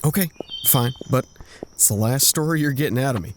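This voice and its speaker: A man's voice: medium pitch, a little fast, slight background noise, close to an everyday voice. An actor playing a bashful, bragging womanizer in an audio drama, telling racy tales in a folksy, stumbling way full of innuendo. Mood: reluctant. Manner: folksy, innuendo-laden, stumbling into self-corrections. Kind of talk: audio drama acting, anecdotal storytelling.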